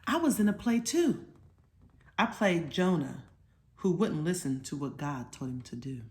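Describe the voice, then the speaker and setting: An eager young storybook boy, read by a woman in a fairly low voice proudly retells his part in a Bible play. The telling is dramatic, like a child recounting an adventure. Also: lively intonation, a little slow, a little mellow.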